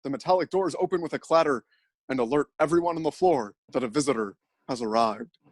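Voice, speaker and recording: A man narrating an absurd story in a mock-dramatic, deadpan style. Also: mid-range voice, quick pace, no echo.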